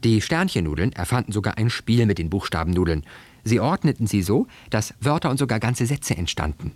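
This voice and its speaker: A man reading a children's story aloud in an expressive, scripted storytelling style. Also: on the low side, a little fast, no room sound. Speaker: story reader.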